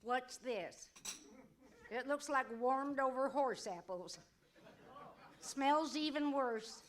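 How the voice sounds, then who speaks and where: Woman acting a high-voiced, bossy old frontier mother. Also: takes it slowly, slight background noise. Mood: disgusted, scolding. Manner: folksy, scolding frontier talk. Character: bossy, teasing Western cowboy hero's mother, older woman. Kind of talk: old-time radio comedy acting before an audience.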